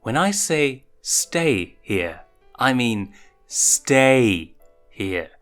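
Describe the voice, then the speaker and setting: A man plays a motherly lioness huntress from a storybook, measured and firm with a teasing edge. The pitch moves about in a lively way, and music plays softly behind. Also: heavily acted. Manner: measured, probing questions, firm. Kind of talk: storybook character reading.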